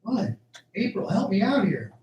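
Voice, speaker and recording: Bewildered young farmhand, acted by a man, highish, animated. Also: unhurried, dull audio. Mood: bewildered, dazed. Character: bewildered, earnest young male farmhand hero. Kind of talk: amateur radio drama acting. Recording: echoey room, faint background noise.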